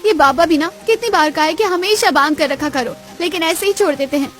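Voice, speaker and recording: A woman playing a caring young village woman in a dramatized moral story, earnest, anxious and expressive. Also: extremely high pitch, fairly quick, faint music behind. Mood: worried, calling.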